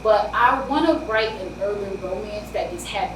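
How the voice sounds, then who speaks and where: A woman with a New York accent, an author being interviewed in casual chat, talking loud and animated with quick bursts. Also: mid-range voice. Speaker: author guest. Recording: roomy, distant mic, faint hiss.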